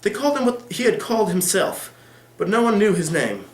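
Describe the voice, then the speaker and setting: A man reading the part of a calm, discreet lawyer who speaks formally and meditatively in a novel. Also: high-pitched, a little fast, voice pushed up. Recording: echoey room.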